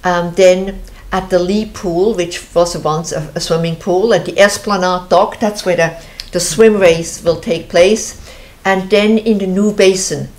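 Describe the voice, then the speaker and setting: A woman's voice: lowish voice, steady tone, unhurried. A middle-aged woman from Switzerland with a Swiss accent, hosting a TV interview in an earnest way and often restarting her phrases. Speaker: host. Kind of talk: conversational TV interview.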